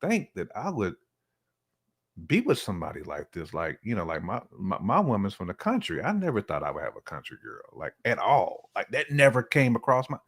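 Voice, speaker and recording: A man's voice: lowish voice, huge pitch swings, brisk, a bit bright. A man chatting casually and slangily, often acting out imagined quotes as he gives relationship opinions. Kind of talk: casual conversational chat.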